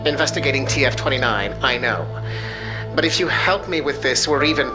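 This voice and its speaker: An actor playing a snarky, self-important American tech expert in a video game, with a precise, sardonic delivery. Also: male voice, medium pitch, expressive, fairly quick, close to an everyday voice, low-quality recording. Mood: businesslike. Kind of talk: video game cutscene acting. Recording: loud music behind. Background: music.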